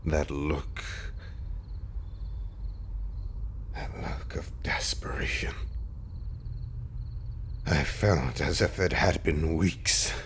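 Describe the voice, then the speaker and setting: A man playing a haunted, tender undead husband in an intimate audio roleplay monologue, speaking haltingly and often slipping into breathy whispers. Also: rumbling low, fairly bright tone, heavily acted. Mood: longing.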